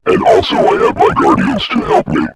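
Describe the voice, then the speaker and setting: A man voicing a boastful, taunting robot villain in an amateur story, through a heavy pitch-warping robotic filter. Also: a little fast. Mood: gloating, taunting. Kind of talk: amateur emergency-broadcast story villain monologue. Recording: clearly noisy audio.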